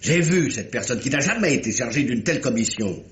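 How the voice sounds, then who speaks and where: A man's voice: mid-range voice, animated, fairly quick, echoey room, natural voice. An actor playing a fussy, authoritative middle-aged examining magistrate in a theatrical radio mystery style, often repeating his words. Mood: grave, firm. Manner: theatrical, hesitant repetitions, commanding. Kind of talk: 1950s French radio mystery acting.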